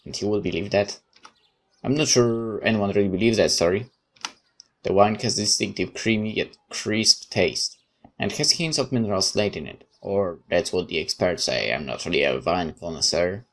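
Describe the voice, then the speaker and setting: A man's voice: sits low, normal speed, a bit bright. A man reading a visual novel aloud as narration, dropping in casual asides of his own.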